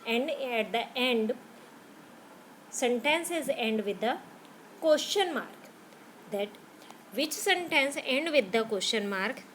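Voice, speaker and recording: A woman teacher with an Indian English accent, slowly spelling out grammar words letter by letter for young pupils. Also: fairly high pitch, animated, a bit bright, slight background noise. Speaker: school teacher.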